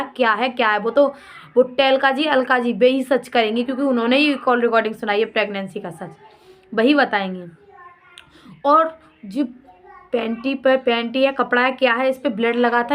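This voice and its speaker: A woman with a fairly high voice speaks as a vlogger in an unscripted rant. She sounds indignant and scolding, firing off rhetorical questions and repeating phrases for emphasis. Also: a bit bright. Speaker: vlogger commenting on a personal drama. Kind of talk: unscripted opinionated rant addressed to absent people.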